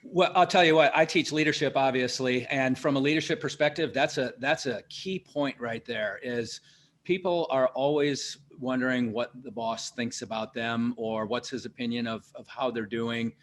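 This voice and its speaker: A man hosts an interview in a relaxed, conversational way, speaking at a middle pitch. He often stops and restarts his sentences and tells stories from his own working life. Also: slight background noise. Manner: halting, many restarts and fillers, tells personal anecdotes. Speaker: interview host, leadership teacher.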